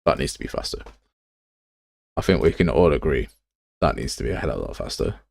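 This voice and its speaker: A man's voice: rumbling low, big pitch swings, brisk, gravelly, a little mellow, no room sound, studio quality. A man hosting a game development livestream, talking casually and thinking aloud as he works in a rambling, informal, self-deprecating way. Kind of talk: casual live tutorial, thinking aloud while working.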